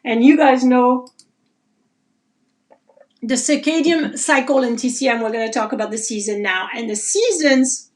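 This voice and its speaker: Lively woman teaching a live class. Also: high voice, moderate pace, pauses often, slight background noise. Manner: lively, animated, reads viewer comments aloud. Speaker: Chinese medicine teacher. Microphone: livestream.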